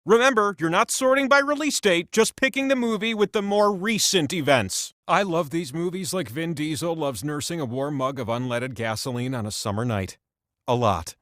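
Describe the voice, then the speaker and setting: An actor playing an excitable, quirky talking wheel that hosts a video game quiz, with a playful, mock-dramatic, showy delivery. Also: male voice, a little high, normal speed, no echo, studio quality, natural voice. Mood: playful asides. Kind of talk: theatrical game show question reading.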